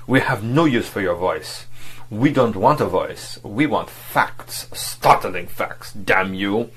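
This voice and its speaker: A man's voice: lowish voice, animated, moderate pace, gravelly, breathy edge, heavily acted, rough recording. A man voicing a haughty foreign embassy official who talks with clipped contempt. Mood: menacing.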